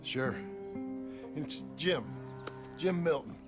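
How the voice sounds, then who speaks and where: An actor playing a weary, evasive American ranch hand and father in a video game, speaking in a guarded, plainspoken way with a gravelly voice. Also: a little high, animated, very slow. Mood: easygoing. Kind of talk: naturalistic video game acting. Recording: music behind the voice.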